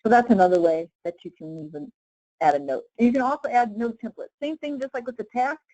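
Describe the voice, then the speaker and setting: A woman leading a casual live software tutorial as a trainer, explaining step by step in an informal way with frequent check-in questions. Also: fairly high pitch, quick pace, no echo, dull audio.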